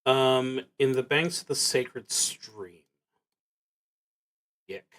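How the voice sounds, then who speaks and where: A man hosting a solo roleplaying stream, musing to himself in a halting, deliberate way as he plays. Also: mid-range voice, a bit bright. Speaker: host of a solo roleplaying livestream. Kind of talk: thinking aloud while playing a solo tabletop game.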